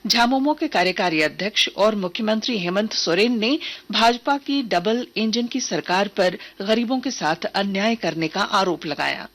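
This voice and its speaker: A woman's voice: mid-range voice, expressive, normal speed, a bit bright. A woman news reader delivering a formal, scripted regional radio bulletin.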